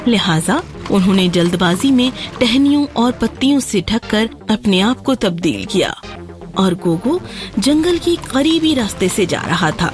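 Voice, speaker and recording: A woman's voice: mid-range voice, lively intonation. A woman narrating a scripted fairy tale for children as a storyteller. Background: background music.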